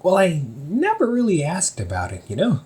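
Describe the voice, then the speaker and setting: A man's voice: high voice, huge pitch swings, normal speed, a little mellow. A man acting out a chatty, teasing young older brother who runs a hobby shop, talking one-sided to the listener in an audio roleplay and laughing often. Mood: casual.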